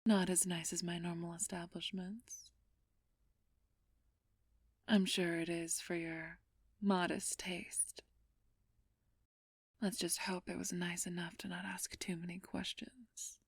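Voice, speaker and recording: A woman playing a flirtatious, haughty ancient vampire thief in a whispered ASMR roleplay, teasing and condescending with a drawn-out purr. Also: on the low side, little pitch movement, no room sound, clean studio sound, exaggerated voice. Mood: haughty, amused. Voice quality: breathy whisper.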